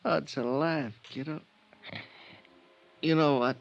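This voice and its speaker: Bitter dying crook, gravelly and halting, old radio crime show, muffled sound. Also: male voice, on the high side, steady tone. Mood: bitter, defiant. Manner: halting, clipped sentences with bitter laughs. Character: cornered dying burglar and killer, bitter and defiant. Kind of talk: old-time radio crime drama acting. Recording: muffled vintage radio recording.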